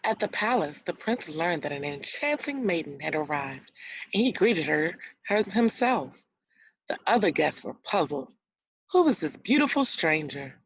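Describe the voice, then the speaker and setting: Lower-pitched woman reading a storybook aloud. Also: very animated, unhurried, slightly rough, low-quality recording. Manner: explains words and asks guiding questions. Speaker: elementary school teacher. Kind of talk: read-aloud storybook narration with questions to young children.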